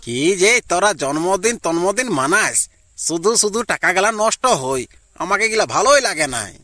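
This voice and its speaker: A man's voice: high voice, lively intonation, normal speed, sharp tone, no room sound. A man with a Purulia Bengali accent voicing a put-upon village husband in an amateur cartoon, complaining and scolding. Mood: grumbling.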